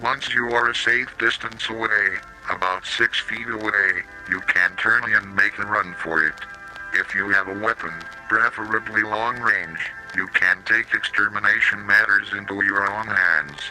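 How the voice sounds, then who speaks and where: Synthetic male narrator for horror safety tape: robotic, slightly low, piercingly bright, mechanical delivery over music. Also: rough recording. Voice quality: robotic synthetic text-to-speech voice. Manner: flat, mechanical, odd pronunciations. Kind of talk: scripted analog horror public-safety narration. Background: slow music.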